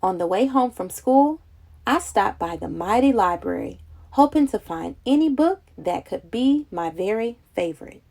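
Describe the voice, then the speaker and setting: A woman reading a children's picture book aloud in an expressive, dramatic, childlike storytelling manner. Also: mid-range voice, moderate pace, creaky.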